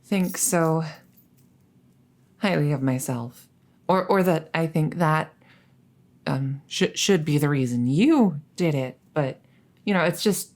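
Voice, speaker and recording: A woman's voice: low-pitched, a little mellow. A woman playing a captured crown princess, haughty but easily flustered and coy, in a roleplay audio drama. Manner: stammering, hesitant, halting.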